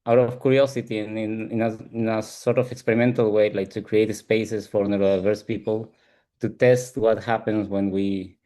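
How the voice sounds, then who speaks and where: Male coach as interview guest, Peruvian Spanish accent, lowish voice, thinking aloud in halting, explanatory answers. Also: flat delivery, mellow. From Peru. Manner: halting, reflective, often ends with "right?". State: frequent throat clearing. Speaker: ADHD coach and support group founder, interview guest.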